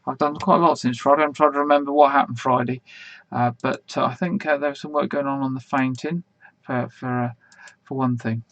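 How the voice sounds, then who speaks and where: A man hosting an online quiz in a casual, rambling way, full of fillers, with a slightly croaky voice. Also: mid-range voice, fairly even intonation, brisk, fairly bright tone, lo-fi sound. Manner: chatty, rambling, many fillers and restarts. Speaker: quiz host.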